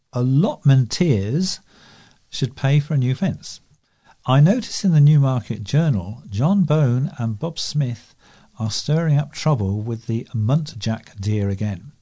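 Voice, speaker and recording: A man reading letters and articles aloud as a volunteer news reader, in a measured, scripted delivery. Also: mid-range voice, animated, dark tone.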